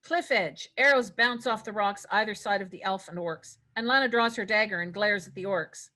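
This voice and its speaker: A woman's voice: mid-range voice, moderate pace, pushed and bright. A woman reading stage directions as scripted narration at a table read.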